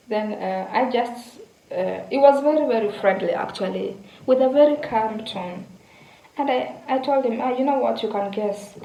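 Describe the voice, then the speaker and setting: A young woman from Kenya tells a story in a chatty, casual way, often repeating herself and turning to her viewers. Her voice sits at a middle pitch. The room around her is echoey, with a faint hum of background noise. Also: creaky, dark tone. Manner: chatty, repeats words, addresses viewers directly. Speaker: vlogger.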